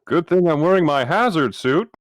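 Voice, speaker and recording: A man's voice: on the high side, lively intonation, normal speed, dry recording, studio quality. A man speaking as a player in a tabletop roleplaying game, putting questions and remarks in character.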